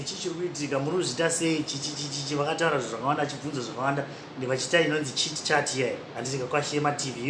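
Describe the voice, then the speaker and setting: A man hosting a podcast, giving chatty, rambling commentary and laughing at himself now and then. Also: a little high. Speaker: podcast host. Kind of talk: casual commentary mixing Shona and English. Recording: echoey room with noisy background.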